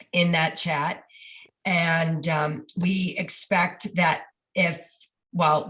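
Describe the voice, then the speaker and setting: Stuffy woman giving a meeting update. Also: on the low side. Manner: steady, informative, with some fillers. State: stuffy, getting over a cold. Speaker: legislative update presenter. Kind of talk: board meeting report.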